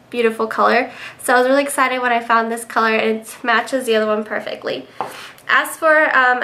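A young woman with a stuffy, nasal voice, sick but cheerful enough to chat through her favorite products. Her tone is bright and sits a little high, full of casual ums. She is recorded on a webcam in her bedroom. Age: young adult. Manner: chatty, many ums. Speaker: beauty vlogger. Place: bedroom indoors.

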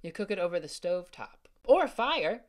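A woman's voice: on the low side, sing-song, moderate pace. A woman playing a confident, teasing older brother, a boy, in a family audio drama. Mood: matter-of-fact. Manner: joking, teasing.

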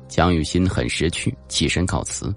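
Male narrator for suspense audiobook: deep, gravelly, dramatic, deliberate delivery. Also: faint music behind. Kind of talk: scripted suspense novel narration.

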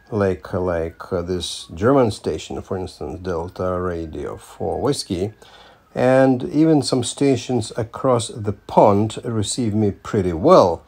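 An older man giving a casual hands-on demonstration, speaking haltingly and repeating words as he goes. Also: lowish voice, darkish tone. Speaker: amateur radio operator presenting a field test.

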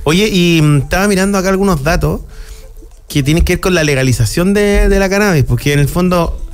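Chatty Chilean radio host, higher voice. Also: male voice, a little fast. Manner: relaxed, chatty, asks leading questions. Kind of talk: casual radio interview. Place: small radio studio. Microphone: studio mic with headphones.

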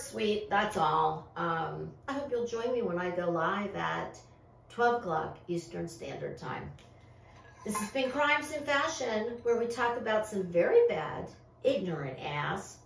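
Female vlog host, sassy and theatrical, unhurried, recorded far from the mic in a roomy space. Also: fairly high pitch, gravelly, a bit bright. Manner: theatrical, sassy, drawn-out delivery. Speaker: host of a crime and fashion commentary show. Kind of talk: casual vlog commentary to camera. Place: small furnished room, indoors. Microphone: far camera microphone. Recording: echoey room, distant microphone.

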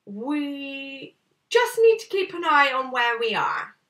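A woman's voice: high voice, sing-song, slow, sharp tone, voice pushed up, exaggerated voice. A woman voicing a cheerful, evasive male bear boatman from a storybook, waffling and politely reassuring. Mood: breezy, reassuring. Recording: slightly distant camera microphone.

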